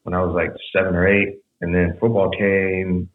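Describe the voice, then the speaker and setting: Deep-voiced man on a tinny echoey line. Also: normal speed, a little hiss or hum. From San Jose, California. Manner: relaxed, rambling storytelling with fillers. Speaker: podcast guest. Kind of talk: casual conversation. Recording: tinny phone-like echoey sound.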